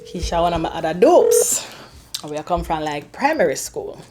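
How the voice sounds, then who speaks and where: An exuberant, playful woman with a Jamaican accent in casual, excited chat. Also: on the low side, normal speed, noisy background.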